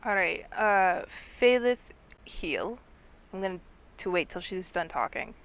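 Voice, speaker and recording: A woman playing a tabletop game, talking excitedly and swearing casually. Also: fairly low pitch, slow pace, dry recording. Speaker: player. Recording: dull lo-fi sound.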